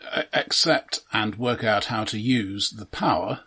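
Man, lowish voice, husky rasp, measured casual film talk, analytical, a little hesitant. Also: pushed and bright. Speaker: podcast co-host.

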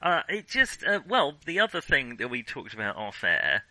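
A man co-hosting a casual film discussion, talking in a halting, animated way and often starting sentences over. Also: mid-range voice, no echo, rough recording. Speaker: podcast co-host.

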